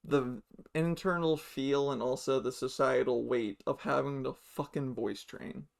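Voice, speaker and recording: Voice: medium pitch, a little slow, vocal fry. A young adult podcast host chatting casually, frank and swearing freely.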